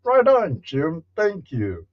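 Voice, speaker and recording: A man hosting a casual livestream, chatting and telling stories in a halting, unhurried, folksy way. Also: on the high side, huge pitch swings, dull audio. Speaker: livestream host and storyteller. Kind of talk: casual livestream chat and storytelling.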